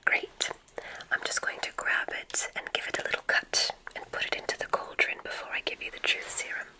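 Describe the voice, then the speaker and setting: A woman whispering gently and soothingly in an ASMR roleplay as a kindly witch examiner, breathy and unhurried. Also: low-quality recording. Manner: gentle, unhurried, soothing, theatrical word choice with soft repetitions. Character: kindly witch medical examiner at a magic school. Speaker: ASMR performer playing a witch doctor.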